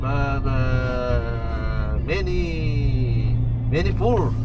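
A man's voice: mid-range voice, drawn-out words, over loud music. A man with a Filipino accent, a taxi driver, answering in short, hesitant broken English. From Philippines. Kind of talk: casual chat. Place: driving a taxi in traffic. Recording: dull muffled sound under loud traffic noise.

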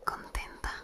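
A woman whispering as a flirty cousin. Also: bright, forceful tone. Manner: whispering close and slowly. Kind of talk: ASMR whispered roleplay. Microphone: close binaural mic.

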